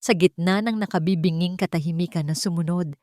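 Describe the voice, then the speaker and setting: A woman narrating a dramatic story in an expressive, suspenseful storytelling manner. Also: fairly low pitch, a little fast, dry recording, studio quality.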